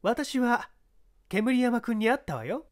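A woman's voice: a little high, very animated, a little slow. A woman voicing a pushy, calculating female baseball scout, insistent and needling, in a story reading. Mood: pressing, scornful. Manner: insistent, needling, feminine old-fashioned phrasing.

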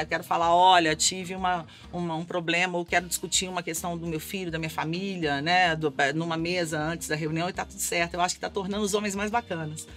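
A middle-aged woman from Belo Horizonte with a Mineiro accent, an advertising executive in a seated studio interview. She talks energetically, often ending with a questioning "né?". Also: lowish voice. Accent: Mineiro Brazilian Portuguese. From Belo Horizonte, Brazil. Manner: energetic, talks with her hands, frequent "né?". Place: small indoor studio set.

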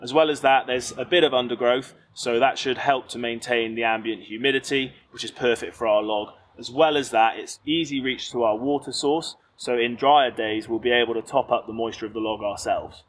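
A man's voice: medium pitch, fairly even intonation, brisk, hardly any pauses, a little hiss or hum. A young British man presenting an upbeat step-by-step tutorial outdoors in a garden. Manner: upbeat, clear instructional delivery.

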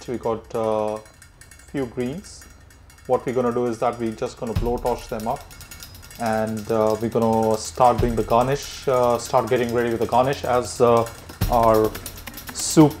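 A male chef in his thirties walks through a recipe in a demonstration kitchen. His voice is medium in pitch, and light music plays behind it with some sizzling from the pan. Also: darkish tone. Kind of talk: cooking demonstration.

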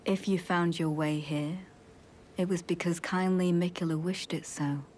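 An actress playing a devout female knight in a video game, courteous yet coldly menacing, speaking formal archaic lines in a measured, solemn way. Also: on the low side, fairly even intonation, darkish tone, long reverb tail, slight background noise, lightly acted. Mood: calm, courteous.

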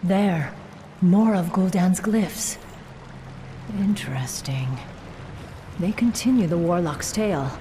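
Casting: vengeful female night elf warden, fantasy game. Lowish voice, slow, stern delivery. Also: background noise. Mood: grave, wary. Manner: grave, measured, commanding. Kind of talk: video game cutscene acting. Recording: music under the voice.

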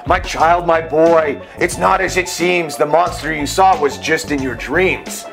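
A man voicing a reassuring, measured mother at bedtime in a children's storybook. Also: on the high side, gravelly, over music. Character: reassuring human mother calming her young son at bedtime.

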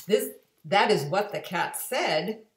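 Female storybook reader, 40s: highly expressive, unhurried, emphatic, roomy home sound. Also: a little hiss or hum. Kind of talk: animated children's read-aloud. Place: kitchen-dining room at home. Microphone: webcam or laptop at arm's length. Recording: roomy home webcam recording.

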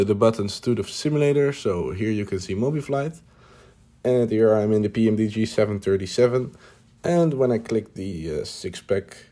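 Mellow-voiced man narrating his hobby build. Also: fairly low pitch. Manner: hesitant, many "uh" fillers, plain upbeat remarks. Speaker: hobby builder showing his home cockpit project. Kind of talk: casual voice-over narration.

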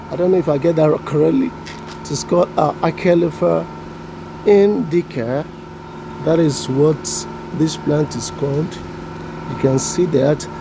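Nigerian man over a loud generator hum. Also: on the high side, creaky. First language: Yoruba. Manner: unhurried, self-deprecating, stops to sound out plant names. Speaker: vlogger. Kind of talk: casual walk-through narration. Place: outdoor backyard compound. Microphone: handheld phone camera, off-screen. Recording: generator noise behind phone audio. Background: generator running.

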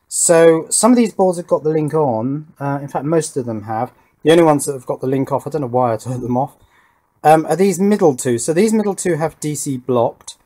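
A man's voice: mid-range voice, a little mellow. A middle-aged British man giving an unscripted hands-on electronics demonstration, methodical and thinking aloud as he goes. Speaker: electronics hobbyist presenter. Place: indoor home workbench.

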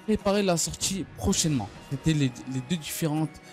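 A man giving an unscripted gaming news recap, speaking haltingly and often stumbling or repeating his phrases. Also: fairly high pitch, a little mellow. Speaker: gaming video creator. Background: background music.

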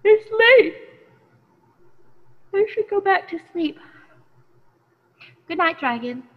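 A young woman playing an excitable, naive girl in an audio drama, gushing and exclaiming. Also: very high voice, takes it slowly, heavily acted. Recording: long cave-like reverb, slightly dull.

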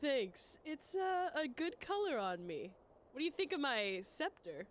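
A woman's voice: high voice, big pitch swings, unhurried, a little hiss or hum. A woman voicing an airheaded, easygoing female bat in a comedic game fan dub, rambling casually over music. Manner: casual, rambling, dude-speak. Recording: music behind the voice.

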